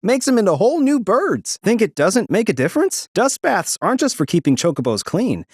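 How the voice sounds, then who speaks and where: An actress playing an earnest animal keeper in a video game, with folksy, persuasive talk. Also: female voice, mid-range voice, big pitch swings, a little fast, a little mellow, husky, no room sound, clean studio sound. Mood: enthusiastic, persuasive. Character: earnest chocobo keeper extolling sand baths. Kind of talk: video game character acting.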